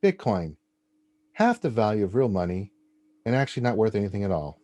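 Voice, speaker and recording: A man's voice: on the low side, brisk. A man co-hosting a casual gaming stats show, joking and teasing as he reads out results. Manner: jokey, teasing, animated delivery. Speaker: co-host.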